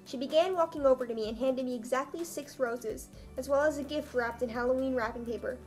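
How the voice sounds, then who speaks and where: A woman's voice: high-pitched, a little fast, faint music behind. A young adult woman reading a story aloud in a comedic, mock-dramatic, self-mocking way with casual asides. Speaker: vlogger reading her own old story aloud.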